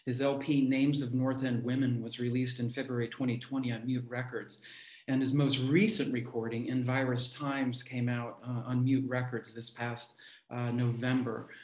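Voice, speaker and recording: A man's voice: medium pitch, flat delivery, normal speed. A middle-aged man hosting an online event, introducing a reader over a video call in a roomy space. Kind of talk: event introduction, part read and part informal. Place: room at home, indoors.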